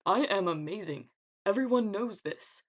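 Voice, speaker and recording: A woman's voice: mid-range voice, animated, moderate pace, natural voice. Woman as young man, vain, haughty, demanding, storybook character. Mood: indignant. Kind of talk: audiobook character acting.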